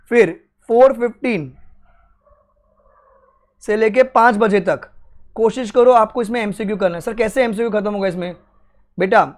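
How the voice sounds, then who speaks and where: A young Indian man teaching exam strategy to students, talking in an energetic motivational way and mixing Hindi with English. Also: sits high. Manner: energetic, switches between Hindi and English, addresses students as kids.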